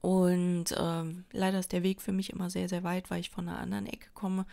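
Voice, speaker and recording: Chatty woman with a gravelly edge. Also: on the low side, level tone, a little fast, mellow. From Berlin, Germany. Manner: chatty, rambling, many fillers and restarts. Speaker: crafting vlogger. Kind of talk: casual chat while crafting. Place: indoors at a table.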